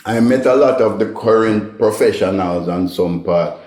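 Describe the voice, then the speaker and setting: A deep, mellow-voiced Jamaican man spins stories from his comedy career at an easy, rambling pace. He often tags his lines with "right?" and "you know". The room around him is echoey. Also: normal speed. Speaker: comedian guest. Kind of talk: relaxed interview storytelling.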